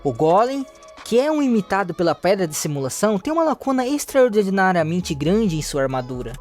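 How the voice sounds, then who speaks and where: Story recap narrator, Brazilian accent, mid-pitched voice with animated intonation, light music underneath. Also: a little fast. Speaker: narrator of a manhwa recap channel.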